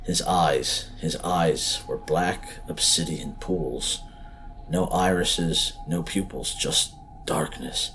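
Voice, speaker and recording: A man's voice: extremely low pitch, slow pace, fairly bright tone, soft background music, low-quality recording. A man reading a scripted horror story as narrator, in a dramatic, suspenseful, emphatic way.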